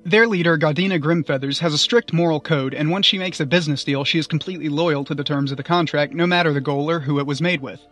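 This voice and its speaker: A man's voice: fairly high pitch, fast, few pauses, fairly bright tone. A man giving scripted review commentary as a guest.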